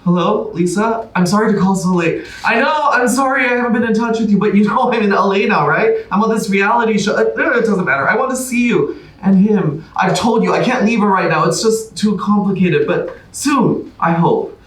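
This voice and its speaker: Guy acting as sneaky guilty husband on a reality show, high apologetic voice, stage comedy, echoey. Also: fairly quick, hardly any pauses, lightly acted. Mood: furtive, apologetic. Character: furtive, guilt-ridden married male contestant. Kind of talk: staged reading of musical comedy. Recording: echoey room from far off.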